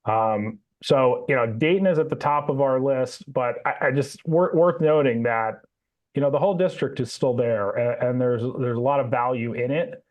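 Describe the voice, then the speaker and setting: A male executive with a medium-pitched voice talks through plans on an earnings call. His delivery is unscripted and conversational, with tentative starts. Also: moderate pace, darkish tone, dull audio. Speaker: company operations executive.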